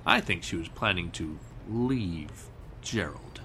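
A man's voice: deep voice, animated, takes it slowly, over loud music, heavily acted. A man playing an earnest, slightly evasive businessman under suspicion, formal and hammy, in old-time radio mystery style.

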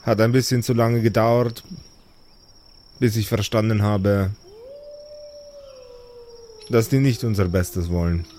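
A man playing a calm, polite former villain seeking peace in an improvised audio drama. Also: on the low side, a little hiss or hum. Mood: conciliatory, regretful. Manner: polite, measured, conciliatory. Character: calm, courteous repentant male former villain. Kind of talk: improvised German audio drama role-play.

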